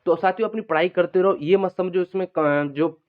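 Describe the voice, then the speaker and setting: Male video host, slightly high voice, rapid informal delivery, repetitive explaining. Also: narrow, tinny audio. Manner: repetitive, explanatory, frequent check-in tags. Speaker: exam-news video host, coaching aspirants. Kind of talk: informal unscripted exam update monologue.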